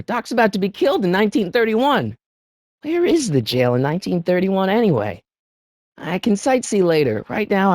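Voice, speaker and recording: An actor playing an excitable, wisecracking American teenage boy from the eighties in a video game, with slangy, youthful delivery. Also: a little high, dry recording, clean studio sound. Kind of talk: animated video game voice lines.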